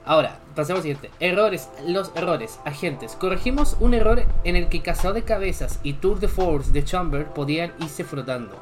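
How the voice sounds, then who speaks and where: A man's voice: a little high, animated, moderate pace, hardly any pauses, music in the background. Casual Chilean-born male streamer. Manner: casual, chatty asides between read-out items. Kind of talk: reading patch notes and chatting with viewers.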